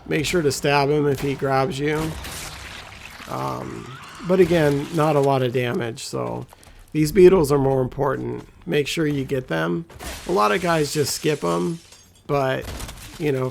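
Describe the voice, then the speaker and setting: A man with a scratchy, gravelly voice giving laid-back, rambling commentary as a gameplay guide. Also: medium pitch, measured pace. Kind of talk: casual walkthrough commentary.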